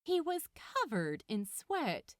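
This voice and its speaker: A woman narrates fiction with a very lively, swooping delivery. She takes her time over the phrases in a fairly high voice, expressive throughout. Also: slow, no room sound, studio quality. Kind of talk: expressive scripted story narration.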